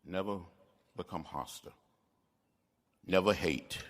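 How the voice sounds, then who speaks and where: A man delivering an inspirational speech, solemn and deliberate, in short emphatic phrases. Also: low-pitched. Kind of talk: oratorical speech, inspirational exhortation.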